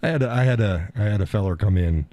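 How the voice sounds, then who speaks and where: A man hosting a live call-in stream, talking in a calm, easygoing way and chuckling often. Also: fairly low pitch, fast, no echo. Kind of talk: casual chat.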